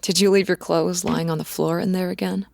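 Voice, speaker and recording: An actress plays a young cat-eared woman in a fan audio drama, reserved and guarded. Her lowish voice has plenty of vocal fry and a very dry, close sound. She stays composed and understated, quietly caring. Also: flat delivery, brisk, no echo. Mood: calm, gently teasing.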